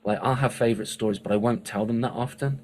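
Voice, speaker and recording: A man hosting an interview, enthusiastic and quick with praise, asking rambling questions. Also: medium pitch, fairly even intonation, darkish tone. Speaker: podcast host. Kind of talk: casual interview chat.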